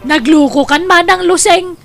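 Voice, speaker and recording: A woman's voice: very high voice, unhurried, creaky, over music, exaggerated voice. An actress playing an indignant, incredulous mother in a comedy drama, speaking in exclamations. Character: indignant, incredulous mother and scolding younger sister. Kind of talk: Ilocano comedy drama acting.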